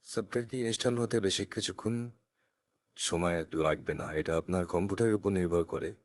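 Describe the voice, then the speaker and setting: Deep-voiced man giving a software tutorial. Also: moderate pace, no echo. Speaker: tech tutorial presenter. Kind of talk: step-by-step software tutorial narration. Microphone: close USB condenser microphone.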